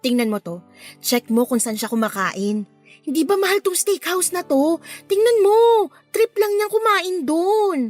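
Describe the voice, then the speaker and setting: A woman playing a smitten, bashful young hotel receptionist in chatty, animated radio drama banter. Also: extremely high pitch, unhurried. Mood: insistent. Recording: music under the voice.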